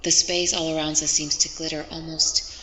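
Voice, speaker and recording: An earnest female field reporter aboard a starship, acted by a woman, low in pitch. She speaks her sci-fi log reports in a reverberant space with clear ambient noise. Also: flat delivery, normal speed, bright, forceful tone. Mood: awed. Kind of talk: sci-fi audio drama, recorded log reports. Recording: echoey hall with noisy ship ambience.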